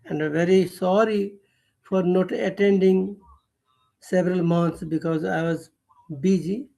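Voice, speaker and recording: Slow, halting older man. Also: high-pitched, steady tone, creaky, mellow, low-quality recording. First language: Nepali. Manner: slow, halting and earnest, with pauses and self-corrections. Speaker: guest poet. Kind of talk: remarks at an online poetry recital.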